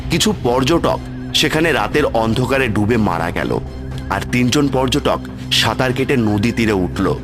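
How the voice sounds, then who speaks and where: A man's voice: medium pitch, lively intonation, unhurried. A man telling a scripted horror story as the narrator of a cartoon tale. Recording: loud music with cricket sounds behind.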